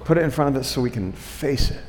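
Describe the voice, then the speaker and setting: A man's voice: medium pitch, animated, quick pace, a little mellow, a little hiss or hum. A man preaching a conversational sermon, using rhetorical questions, repeated phrases and frequent check-ins with his listeners. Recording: long hall reverb.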